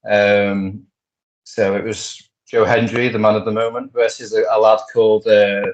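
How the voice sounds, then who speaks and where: Rambly guy on a wrestling podcast, lowish monotone voice, bit muffled sound. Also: moderate pace. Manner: rambling, lots of fillers and restarts. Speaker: podcast co-host. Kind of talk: casual wrestling news chat.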